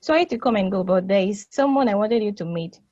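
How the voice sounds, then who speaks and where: A woman's voice: medium pitch, animated, fast, darkish tone, no room sound, close to an everyday voice. A woman acts out a sentient space probe with a big-sister streak. She is blunt, casual and teasing, yet protective. The sound is dry and a little dull, like a modest home microphone. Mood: friendly, brisk. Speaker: actor in a table read. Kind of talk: play table read, conversational acting.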